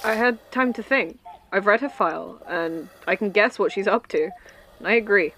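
A woman's voice: mid-range voice, normal speed, fairly bright tone, a little hiss or hum, lightly acted, rough recording. A woman as a wary, steely young staffer. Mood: cold resolve. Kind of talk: audio fiction podcast acting.

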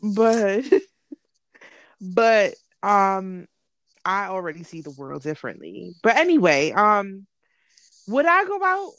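A woman, frank and direct, pressing her co-host with questions. Her voice sits at a middle pitch. The recording is close and dry. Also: very animated, slow, long pauses, fairly bright tone, no echo. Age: late 20s. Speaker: podcast co-host. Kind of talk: casual chat.

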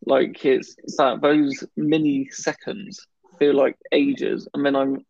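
A man's voice: medium pitch, flat delivery, takes it slowly, creaky, darkish tone, dry recording, muffled recording. A man in casual conversation who stammers, blocking on some words and often restarting. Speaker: guest talking about his stammer. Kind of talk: casual chat.

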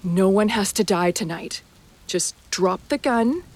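A woman's voice: medium pitch, expressive, unhurried, darkish tone. A woman playing a tense, determined police officer in an audio drama, moving between intimate narration and urgent commands. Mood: firm, pleading. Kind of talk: audio drama acting with hushed first-person narration.

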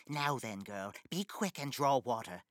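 A woman's voice: rumbling low, expressive, measured pace, dry recording, clean studio sound, pitched below the performer's own voice. A woman voicing a cruel, greedy old witch who scolds and sneers in a storybook reading. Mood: angry.

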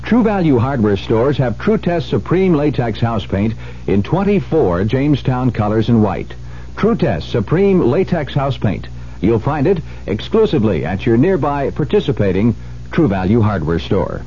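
A man's voice: medium pitch, sing-song, moderate pace, mellow. A man reading a scripted radio commercial as the product spokesman. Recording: dull hissy 1970s radio recording.

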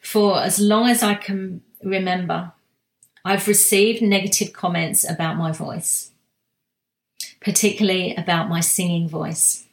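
Slow, soft-spoken woman in an echoey room. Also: fairly low pitch, fairly even intonation. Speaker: spiritual guide sharing monthly energy reading. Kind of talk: reflective spiritual monologue.

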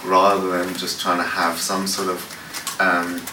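A man with a low voice fields questions at a church gathering, stumbling through fillers and restarts as he thinks aloud. He sounds distant from the mic, in a roomy hall with noise around him. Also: completely flat delivery, a little slow. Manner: hesitant, many fillers and restarts. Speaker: worship leader leading a panel session. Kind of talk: unscripted talk answering questions. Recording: echoey room, distant mic, noisy.

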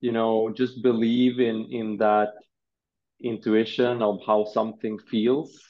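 A Swedish man in his thirties, a designer answering interview questions in a thoughtful, halting way, often repeating words. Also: lowish voice, little pitch movement, highs cut off. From Sweden.

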